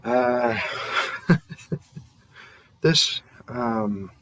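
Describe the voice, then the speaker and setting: A man's voice: mid-range voice, lively intonation, extremely slow, fairly bright tone, no echo, poor audio. A man who makes audio roleplays, talking casually and off the cuff to listeners in an earnest, hesitant way with trailing asides. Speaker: audio roleplay creator and performer. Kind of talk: casual, unscripted thank-you to listeners.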